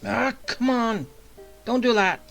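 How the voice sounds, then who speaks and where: Male streamer, high-pitched and very unhurried, casual reactive game commentary with clear music underneath. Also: a bit bright. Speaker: gaming streamer.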